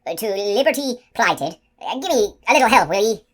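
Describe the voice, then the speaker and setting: A woman voicing a gruff, bumbling giant outlaw man who speaks loudly in old-fashioned country speech, for an audiobook. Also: mid-range voice, lively intonation, takes it slowly, pitched above the performer's own voice. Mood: blustering.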